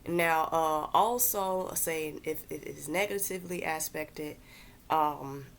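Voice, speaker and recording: A congested woman hosting a casual, unscripted astrology explainer, halting as she searches for words and repeats herself. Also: deep voice, gravelly. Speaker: astrology content host.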